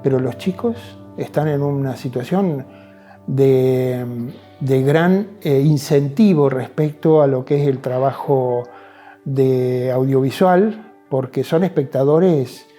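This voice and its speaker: A man with an Argentine accent and a very dark, soft-edged voice talks reflectively in an interview. He often checks in with short tag questions. Music plays behind him. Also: medium pitch, takes it slowly.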